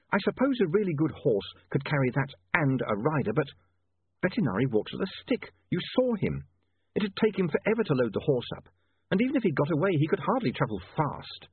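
Man acting an earnest, urgent young newspaperman, raised pitch. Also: very animated, a little fast, slightly rough, dry recording, exaggerated voice. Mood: working it out. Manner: urgent, insistent, hesitant at times. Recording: muffled narrow-band, phone-like radio sound.

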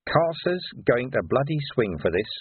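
A man voicing a gruff, weary, hot-tempered veteran policeman in an audiobook, blunt and terse. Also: mid-range voice, sing-song, moderate pace, no room sound, phone-quality sound. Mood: grim.

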